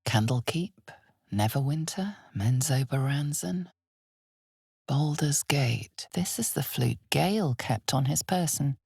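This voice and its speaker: An ominous, knowing female narrator for a dark fantasy video game. She speaks slowly and dramatically in a low register, often hushed, with heavy vocal fry. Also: expressive, no echo, studio quality, exaggerated voice. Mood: knowing, grave. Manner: measured, dramatic, often hushed and breathy toward whispering.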